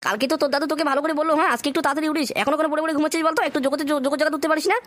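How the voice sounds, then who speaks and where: A man voicing a fussy, coaxing father in an amateur comic cartoon, nagging repetitively in a pitched-up voice. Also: fairly even intonation, rapid-fire, a bit bright, no echo. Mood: coaxing, scolding. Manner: repetitive nagging with questioning tag words. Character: fussy, coaxing father rousing his foul-mouthed son.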